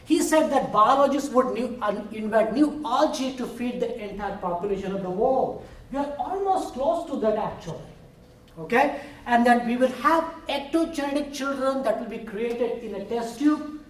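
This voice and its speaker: A man's voice: extremely high pitch, animated, normal speed. Man, Indian accent, biology professor, chatty lecture, echoey hall, distant mic. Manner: chatty and self-deprecating, with fillers and jokes. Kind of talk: university lecture. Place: lecture room. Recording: roomy hall, heard from far.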